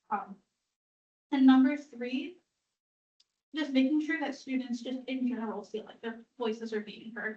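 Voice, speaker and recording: A young woman with a fairly high voice, heard from far off in a room full of echo. She answers earnestly and works through her points one by one. The sound is dull and lo-fi. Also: darkish tone. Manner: earnest, lists points in order. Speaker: candidate. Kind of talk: candidate answer. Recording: distant, echoey, dull lo-fi sound.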